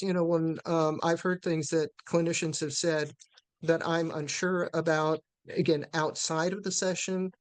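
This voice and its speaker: A woman presenting a live webinar, answering questions haltingly with many fillers and restarts. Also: low-pitched, darkish tone.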